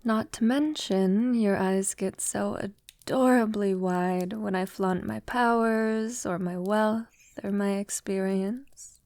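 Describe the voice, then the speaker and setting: Slow, tender vampire lover, played by a woman. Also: medium pitch, steady tone, no room sound, clean studio sound. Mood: teasing, fond. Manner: soft, tender, unhurried, often breathy near-whisper; formal, elegant wording. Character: affectionate centuries-old female vampire lover, refined and vulnerable. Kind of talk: intimate ASMR girlfriend audio roleplay.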